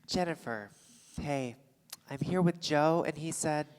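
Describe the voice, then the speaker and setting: A woman's voice: deep voice, unhurried, darkish tone, clear, resonant tone. An actress playing a defensive, rattled woman with a secret affair in a live staged play reading. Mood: shaken. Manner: indignant, sarcastic, stammering when rattled. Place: theatre stage before a live audience. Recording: live theatre stage, long hall reverb.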